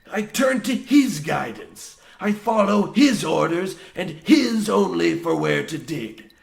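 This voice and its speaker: An actor playing a fanatical old mine boss in a horror radio play parody, speaking in grandiose, archaic, rustic phrases. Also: male voice, a little high, gravelly. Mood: fervent, ranting, then grim, reverent. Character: zealous old mine boss devoted to Mole King. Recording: echoey room sound.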